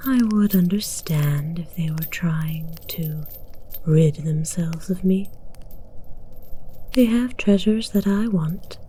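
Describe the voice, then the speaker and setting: A woman playing a haughty, menacing dragon queen in an ASMR roleplay, whispering in an imperious, formal, breathy way. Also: low-pitched, mellow, slight background noise. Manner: imperious, slow, deliberate, formal.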